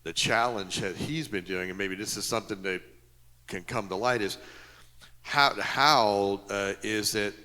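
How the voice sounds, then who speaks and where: A man in the audience, a compliance officer, asking a question in a loud, direct way with hesitant fillers. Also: on the low side, measured pace. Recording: echoey conference hall.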